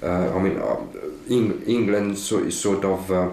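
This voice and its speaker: A man's voice: low voice, measured pace, vocal fry, warm tone, echoey room, a little hiss or hum. A man speaking hesitantly in a documentary interview, with many fillers and restarts. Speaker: father interviewed.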